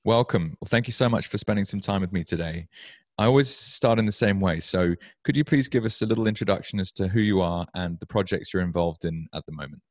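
A man's voice: deep voice, fairly quick, darkish tone, no echo, studio quality. A man from the UK, in his thirties, hosting a podcast interview in a casual, rambling way with frequent restarts. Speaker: podcast host.

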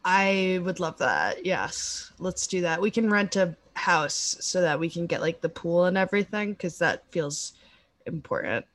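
A young woman co-hosting a podcast, chatting casually and agreeing warmly with her partner. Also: lowish voice, animated, measured pace. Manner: agreeable, encouraging, many "mm-hmm"s. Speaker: podcast co-host. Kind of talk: casual chat.

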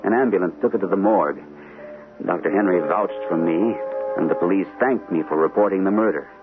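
Captain male actor: low voice, earnest, rattled, old-time radio drama, tinny vintage sound. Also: fairly quick, over music, natural voice. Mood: weary, relieved. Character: troubled army captain, a sanitarium patient, earnest and shaken. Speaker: radio actor, also narrating in character. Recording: old radio broadcast, narrow tinny band, hissy.

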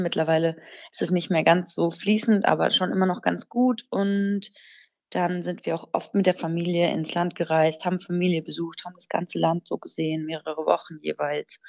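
A woman's voice: lowish voice, creaky, a little mellow, lo-fi sound. A woman in her twenties from Germany, a podcast guest explaining things earnestly with occasional fillers. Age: 20s. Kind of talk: conversational interview answers.

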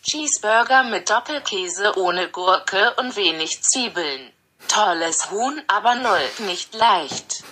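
A text-to-speech female voice reading out translated text in an even, synthetic way. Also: medium pitch, slow, breathy edge. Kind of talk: flat machine readout of translated text.

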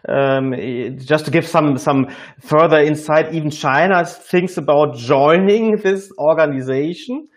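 A man hosting an informal business discussion, speaking haltingly and often repeating words mid-sentence. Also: a little high.

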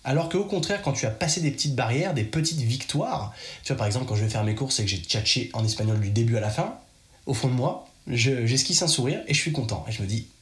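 A man from France talks straight to the listener in a chatty, direct monologue. His voice sits at an ordinary middle pitch. The room around him echoes noticeably. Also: lively intonation, quick pace. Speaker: podcast host. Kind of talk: casual advice monologue.

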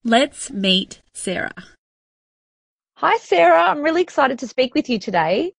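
A woman's voice: high-pitched, big pitch swings, a little slow, vocal fry, no room sound, studio quality. An Australian woman hosting a podcast, bubbly and enthusiastic, reading her intro and then chatting with a guest. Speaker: podcast host. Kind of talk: scripted intro then casual chat.

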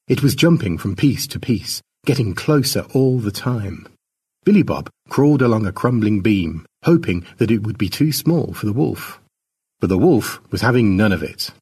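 A man narrating a scripted short story in a dramatic storytelling manner, with a husky voice. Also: lowish voice, a little mellow. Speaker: author narrating his own short story.

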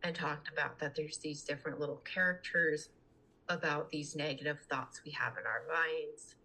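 Young female podcast guest, low-pitched with a raspy edge, earnest, conversational delivery. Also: steady tone, moderate pace, pushed and bright, rough recording. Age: young adult. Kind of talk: casual conversational interview talk.

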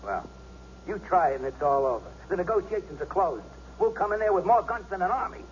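On a dull, hissy radio drama recording, a vindictive police officer and scorned husband. His voice swings widely between menace and bitter outburst, and sits high for a man. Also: very animated, brisk. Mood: urgent, threatening. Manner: menacing, swinging from hushed to shouting. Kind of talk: 1970s radio drama acting. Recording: dull, noisy 1970s radio broadcast.

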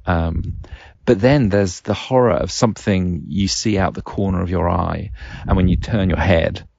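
Male podcast host, very low register with creaky fry, hesitant and reflective, slightly dull home recording. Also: darkish tone, no echo. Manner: hesitant, thinking aloud in halting phrases. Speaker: podcast host and game master. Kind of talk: reflective solo podcast monologue, casual.

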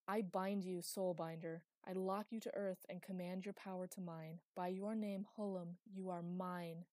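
A man's voice: high-pitched, moderate pace, a little mellow, dry recording, studio quality, close to an everyday voice. A woman reading aloud, voicing an angry proud male mage who snarls and growls his threats. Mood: commanding.

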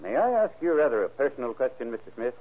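Probing radio-drama sheriff, swinging intonation. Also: male voice, mid-range voice, very animated, fast. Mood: polite, careful. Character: county sheriff, probing, deliberate investigator. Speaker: actor in a radio crime drama. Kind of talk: old-time radio crime drama acting. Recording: narrow, tinny vintage radio recording.

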